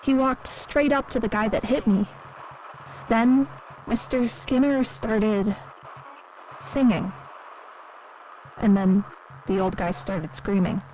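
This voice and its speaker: An actress playing a timid, lonely, naive museum worker in an audio drama, speaking hesitantly and earnestly in a recorded interview. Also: female voice, medium pitch, takes it slowly, pauses often, close to an everyday voice, poor audio. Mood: timid, confiding. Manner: hesitant, soft-spoken, earnest. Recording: clearly audible background noise.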